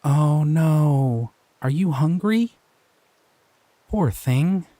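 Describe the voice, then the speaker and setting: A man's voice: fairly high pitch, animated, extremely slow, dark tone. A man playing a sweet, shy slime creature. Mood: tender. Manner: sweet, playful, bashful. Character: gentle, curious, shy male slime creature. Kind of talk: audio roleplay for a listener.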